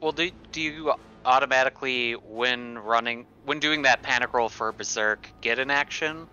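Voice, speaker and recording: A man's voice: medium pitch, normal speed, bright, forceful tone, smooth, highs cut off. A man talking casually as a player in a tabletop game. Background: music.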